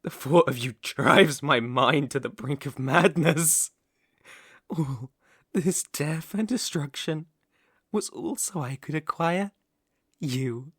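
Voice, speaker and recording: A man's voice: fairly high pitch, slightly rough, dry recording, clean studio sound, heavily acted. A man playing an obsessive, sinister young villain king in an ASMR roleplay, speaking theatrically and sometimes dropping to a whisper. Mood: fevered devotion. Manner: sinister, theatrical, slow drawn-out phrasing with whispers.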